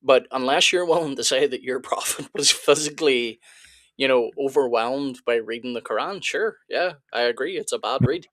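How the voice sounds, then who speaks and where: A man's voice: mid-range voice, moderate pace, fairly bright tone, no echo. A man hosting a live reaction stream, arguing his points in casual chat with frequent restarts. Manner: argumentative, restarts and repeats words. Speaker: apologetics channel host.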